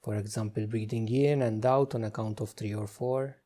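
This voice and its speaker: Mellow-voiced man guiding a meditation. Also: on the low side, fairly even intonation. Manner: gentle, unhurried instruction with frequent pauses. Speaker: meditation guide. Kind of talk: guided meditation.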